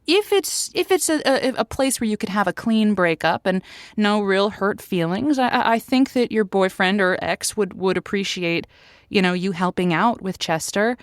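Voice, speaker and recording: A woman's voice: mid-range voice, normal speed, no room sound, clean studio sound, natural voice. A woman playing a thoughtful, sympathetic host of a conversational call-in advice show, with a hesitant, stammering delivery. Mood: amiable, thoughtful.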